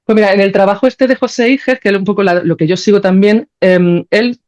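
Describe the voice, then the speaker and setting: A woman's voice: medium pitch, steady tone, brisk, a little mellow. A woman answering as a podcast guest, explaining ideas conversationally and thinking aloud, often checking that she is understood. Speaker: podcast guest, sound creator.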